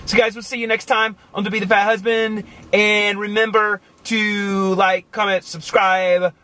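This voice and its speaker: A man in his forties talking casually to the camera from inside a car, animated and chatty, with many asides. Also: high-pitched, unhurried. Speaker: vlogger. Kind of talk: casual motivational talk to camera. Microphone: camera on the dashboard.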